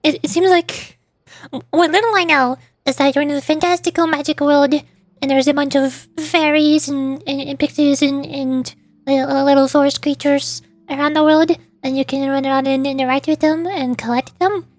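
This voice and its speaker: A woman's voice: high voice, fairly even intonation, moderate pace, no room sound. A woman streamer chatting casually and ramblingly about games, often repeating words as she goes. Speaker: streamer and VTuber.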